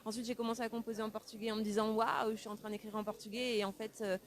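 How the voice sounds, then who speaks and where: A woman's voice: fairly high pitch, level tone, very fast. A woman who grew up in France, a singer and songwriter, answering interview questions in a thoughtful, easygoing way with easy laughs. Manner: thoughtful, warm, laughs easily.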